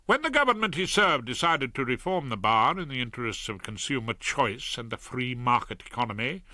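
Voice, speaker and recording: A man's voice: mid-range voice, sharp tone, no echo. Wry older man narrating an audiobook. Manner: wry, ironic storytelling.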